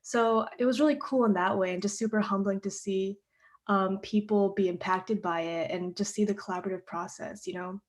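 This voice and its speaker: A woman's voice: medium pitch, steady tone, a little fast. A teenage girl, a playwright guest on a panel, answering questions earnestly with many fillers over a video call. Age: teens.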